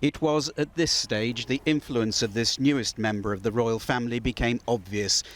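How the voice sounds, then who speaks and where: A man reading a scripted television news report in a measured, storytelling way over a close mic. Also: medium pitch, fairly even intonation. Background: music under the narration.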